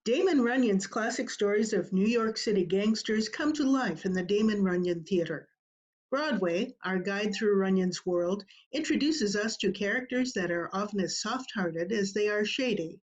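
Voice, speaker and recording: A woman's voice: mid-range voice, breathy edge. A woman announcer reading a scripted introduction to an old-time radio show. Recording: dull lo-fi video-call audio.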